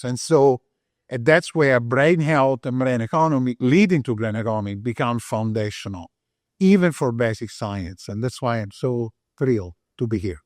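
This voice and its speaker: A middle-aged man from Italy with an Italian accent, speaking as a researcher on a panel, earnest and pausing often to find his words. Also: medium pitch, darkish tone, no echo, studio quality. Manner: earnest, searching for words with many uh fillers. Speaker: panelist, brain health researcher. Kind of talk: panel discussion remarks.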